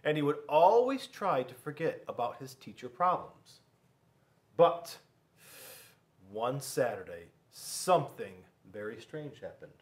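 A middle-aged man reading a children's story aloud in a classroom, expressively, with dramatic pauses and questions to young listeners. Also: mid-range voice, very animated. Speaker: school resource officer reading to children. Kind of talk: animated storybook read-aloud. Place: small school classroom, indoors.

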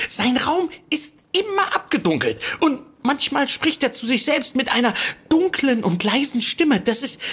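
An actress playing a fussy, apologetic secretary in a comedic audio drama, stammering and rambling nervously. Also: female voice, high-pitched, a bit bright. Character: flustered, apologetic, fussy secretary seeking help.

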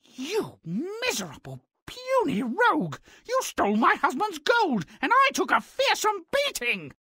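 A woman's voice: very high voice, huge pitch swings, unhurried, bright, forceful tone, no echo, clean studio sound, heavily acted. A woman voicing a haughty, cunning giant's wife, theatrical and scolding, in a cartoonish game. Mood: furious. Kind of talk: cartoonish video game acting.

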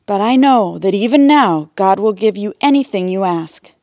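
A woman's voice: high-pitched, sing-song, measured pace, dry recording, studio quality, voice pushed up. A woman voicing an earnest, practical, emotional sister in a storybook Bible tale.

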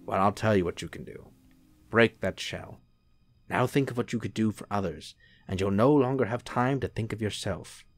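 A man playing a serene, gently persuasive angel in human guise in an audio drama, calm and assured. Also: lowish voice, normal speed, no room sound. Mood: gently firm.

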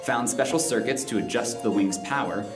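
A male narrator reads a rhyming storybook aloud in a measured, expressive way. His voice sits in an echoey live room with guitar music behind it. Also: medium pitch. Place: live stage in a library room.

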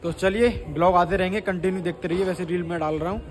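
A young man in his twenties vlogging by phone at arm's length, chatting excitedly to viewers outdoors and in moving cars. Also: high-pitched, fast. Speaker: travel vlogger. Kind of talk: casual travel vlog to camera. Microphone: phone held at arm's length. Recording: outdoor street noise. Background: traffic and wind noise.

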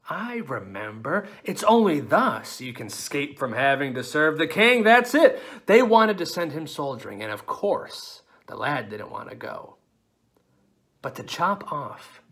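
A man's voice: a little high, fairly bright tone, exaggerated voice. A man playing a defiant, dreamy young outlawed woodsman in a classical stage monologue, theatrical and swinging suddenly between musing and outcry. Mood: pondering.

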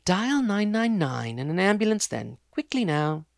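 A man's voice: sits high, very animated, normal speed, vocal fry, dry recording, clean studio sound, pitched above the performer's own voice, heavily acted. A man voicing a bossy, snobbish young woman. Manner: bossy and scolding.

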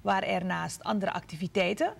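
A woman from Suriname reading the news in a measured, formal way from a studio. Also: mid-range voice, steady tone, gravelly, breathy edge. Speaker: news anchor. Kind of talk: scripted news narration.

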